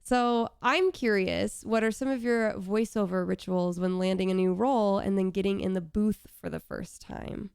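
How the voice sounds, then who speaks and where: Young woman host, raspy fry-heavy voice, smooth and dry on a studio mic, bubbly. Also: mid-range voice, moderate pace, hardly any pauses, no room sound. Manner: bubbly, excitable. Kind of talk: casual interview chat. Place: home streaming room. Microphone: studio mic with headphones.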